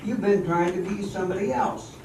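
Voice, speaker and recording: A man's voice: high-pitched, brisk, dark tone, close to an everyday voice. An older man playing a bossy, hopeful song-and-dance showman, giving emphatic instructions in an amateur radio play. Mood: urgent. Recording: echoey hall, distant mic, faint noise.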